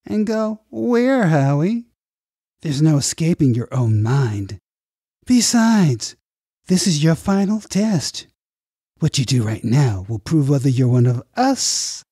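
A man acts out a villainous project director in a sci-fi audiobook. He is smooth-talking and manipulative, with a mellow but husky tone. His pitch swings widely as he coaxes with condescending, drawn-out phrases. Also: very animated, dry recording, clean studio sound, full character voice. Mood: coaxing.

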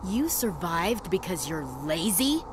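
A woman's voice: on the low side, very animated, unhurried, noisy background. A woman playing a tough, blunt soldier in a video game, with a confrontational manner. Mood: sharp, questioning.

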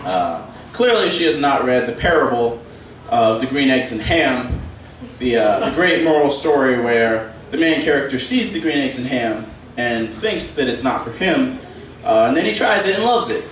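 A man doing stand-up comedy on a pub stage, deadpan and halting with frequent "uh"s. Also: mid-range voice, slight background noise. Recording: echoey pub, distant from the mic.

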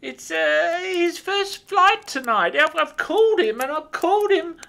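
A man's voice: extremely high pitch, animated, unhurried, gravelly, sharp tone, voice pushed up, exaggerated voice. A man voicing a little old elf in a storybook, an anxious helper who is fussy and hesitant.

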